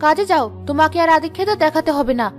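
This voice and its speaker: Woman acting a very high-pitched, hot-headed scolding wife. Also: soft background music, close to an everyday voice. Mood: irritated. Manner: sharp, accusing, rapid-fire rhetorical questions. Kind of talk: Bengali cartoon drama acting.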